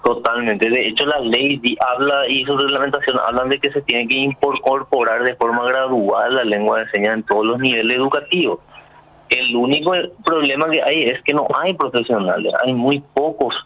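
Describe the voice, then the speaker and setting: A man's voice: medium pitch, normal speed, sharp tone, rough recording. A man from Paraguay, a sign language interpreter interviewed on the radio. He explains things earnestly, with many fillers and restarts.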